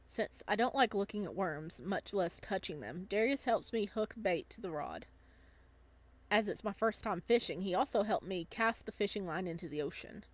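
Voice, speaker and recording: A woman's voice: mid-range voice, lively intonation, moderate pace. A woman streaming a visual novel, reading narration aloud and reacting casually in a giggly, gushing way.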